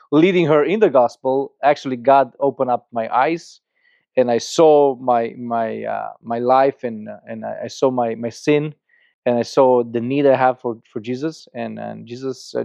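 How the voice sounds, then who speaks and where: Romanian-accented man, halting earnest storytelling. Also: mid-range voice, steady tone, creaky, warm tone, no room sound. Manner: earnest, halting with frequent restarts and repeated words. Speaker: guest, church planter. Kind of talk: podcast interview storytelling. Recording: slightly muffled dry podcast audio.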